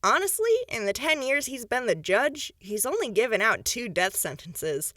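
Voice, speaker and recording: An actress playing a brisk small-town official in a radio drama, matter-of-fact and assured. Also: female voice, on the high side, sing-song, sharp tone, dry recording, studio quality.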